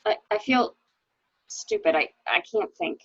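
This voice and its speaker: A woman's voice: medium pitch, wildly swooping pitch, a little slow, vocal fry, a bit bright, exaggerated voice. A woman in an amateur mystery drama reading, playing a nervous, reluctant wife drawn into a cover-up, hesitant and pleading. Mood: anxious, pleading. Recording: dull, muffled lo-fi microphone.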